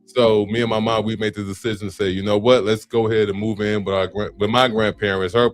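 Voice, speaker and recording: A man in his late thirties, a podcast guest, telling his story in a relaxed, easygoing way. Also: lowish voice, fast, soft background music. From South Side. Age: 30s. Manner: relaxed storytelling with acted-out quotes. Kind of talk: casual interview chat.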